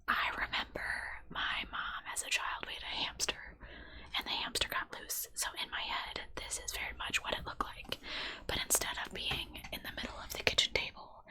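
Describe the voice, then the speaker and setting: A woman who works in healthcare, chatting in a soft, rambling whisper full of fillers. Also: rough recording. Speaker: healthcare worker. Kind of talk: whispered ASMR chat.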